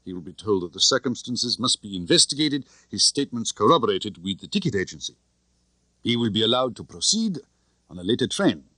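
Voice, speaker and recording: A male narrator puts on a big, tough spy chief for an audiobook, cheerful and sure of himself. He talks expansively and theatrically, with pitch that swings widely, recorded close and dry. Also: sing-song, a little fast, bright, forceful tone, no echo, heavily acted. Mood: gleeful, sly.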